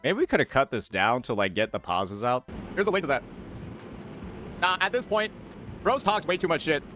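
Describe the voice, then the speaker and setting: A man's voice: fairly high pitch, sing-song, normal speed, a bit bright, slight background noise. A man streaming angry reaction commentary, heated, repeating himself and swearing. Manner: heated, repetitive, profane.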